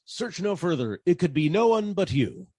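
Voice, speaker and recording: An actor playing a wry, deadpan private detective narrating his caper in 1940s radio style. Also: high-pitched, moderate pace, breathy edge, dry recording, studio quality, exaggerated voice. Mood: dry, polite. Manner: deadpan, wisecracking.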